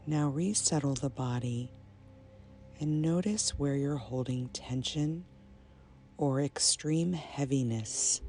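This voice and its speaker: A woman guiding a meditation in a soothing, measured manner, pausing between short phrases. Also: rumbling low. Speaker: meditation guide. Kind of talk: guided meditation, slow and soothing. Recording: soft binaural music bed. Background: ambient binaural meditation music.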